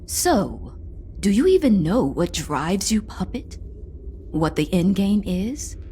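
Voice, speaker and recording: A woman's voice: medium pitch, sing-song, slow pace, dark tone, a little hiss or hum. An actress playing a proud, bitter female tyrant in a video game, with a taunting, contemptuous manner. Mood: menacing, taunting.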